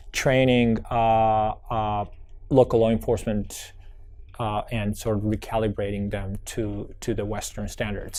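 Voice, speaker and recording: A man's voice: on the low side, darkish tone. A young man from Georgia with an American accent, his first language Georgian, telling his life story in an oral history interview, reflective and full of restarts. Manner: reflective, many restarts and fillers.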